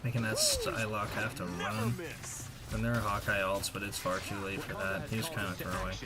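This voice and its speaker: A man's voice: on the low side, huge pitch swings, moderate pace. A young man giving casual, slangy voice-over commentary on gameplay in a loose play-by-play way. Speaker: gaming video creator. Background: game music and effects.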